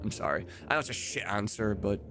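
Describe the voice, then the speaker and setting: A man's voice: lowish voice, animated, fast, over loud music. A man livestreaming in a casual, rambling, sweary chat, often amused by himself. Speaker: live streamer and game modder.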